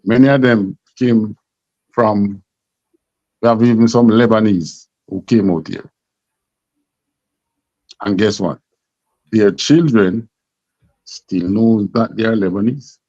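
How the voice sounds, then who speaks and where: A man's voice: fairly low pitch, takes it slowly, pauses often, darkish tone, dry recording. A man with a Jamaican accent teaching a scripture class, emphatic and full of rhetorical questions. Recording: dull lo-fi sound.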